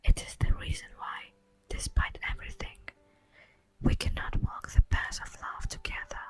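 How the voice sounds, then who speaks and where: A woman voicing an ancient, tender and wistful elf in a breathy whispered ASMR fantasy roleplay, speaking solemnly and poetically with long pauses. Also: close to an everyday voice. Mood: sorrowful, confiding. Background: music.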